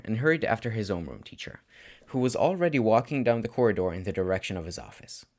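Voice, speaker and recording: A man reading fan fiction aloud as an amateur audiobook narrator, in an expressive scripted style. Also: fairly low pitch.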